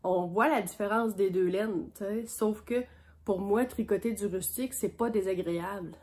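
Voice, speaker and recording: Québécois woman vlogger, has a cold, stuffy and unhurried, rambling show-and-tell. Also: mid-range voice, darkish tone. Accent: Québécois French. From Quebec. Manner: chatty, meandering, homespun. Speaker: knitting vlogger on a sheep farm. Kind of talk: casual show-and-tell chat.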